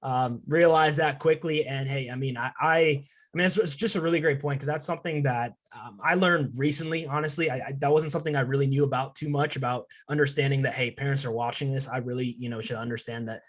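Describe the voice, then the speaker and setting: A young man hosting a casual student podcast interview, agreeable and somewhat halting, repeating words as he goes. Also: mid-range voice, steady tone. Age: young adult.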